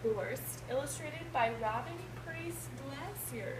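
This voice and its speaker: A woman with a slightly high voice reads a children's book as a teacher would to a class. She stresses and stretches key words. The sound is echoey and a bit distant, with noticeable noise. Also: animated, takes it slowly, crowd noise. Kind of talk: expressive picture-book read-aloud. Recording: echoey, noisy room, distant microphone.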